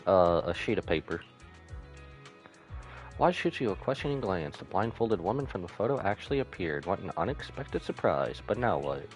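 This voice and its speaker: A man's voice: deep voice, very animated, moderate pace. A man live streaming a video game, switching between jokey casual reactions and reading the game's text aloud. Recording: game music underneath. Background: game music.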